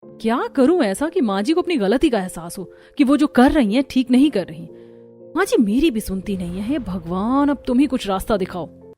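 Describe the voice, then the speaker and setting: A woman voicing a young daughter-in-law in an animated family moral story, with a theatrical delivery. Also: on the high side, normal speed, faint music behind, lightly acted. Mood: troubled.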